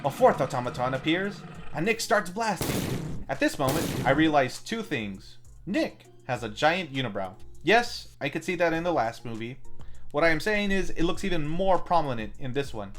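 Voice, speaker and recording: A man hosting a film review, reading a scripted movie recap with deadpan, wry asides over music. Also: fairly high pitch, normal speed. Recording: music bed under the voice.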